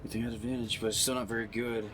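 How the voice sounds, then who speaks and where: A man's voice: mid-range voice, little pitch movement, rapid-fire, noisy background. A man chatting casually as a player in a tabletop roleplaying game, informal and thinking aloud as he goes.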